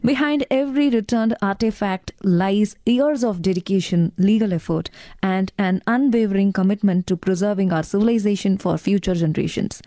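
A woman's voice: medium pitch, normal speed, no echo. A woman interviewer who asks polite questions, calls the guest "sir", and reads a formal closing. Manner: polite, addresses guest as sir, many soft affirmations.

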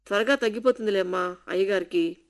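A woman's voice: mid-range voice, fast, close to an everyday voice. A woman reading the part of a kind, caring housemaid who coaxes and comforts. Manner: warm, coaxing.

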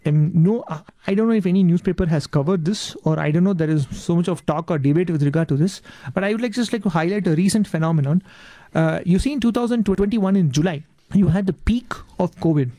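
A man with a Tamil Indian accent, a native Tamil speaker, giving earnest, polite and measured answers as an interview guest. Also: high voice, warm tone, no room sound.

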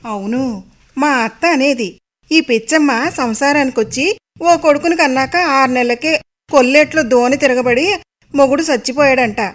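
A woman's voice: high voice, normal speed. A woman playing a resigned village neighbour sharing gossip with a coastal Andhra accent in an audio drama.

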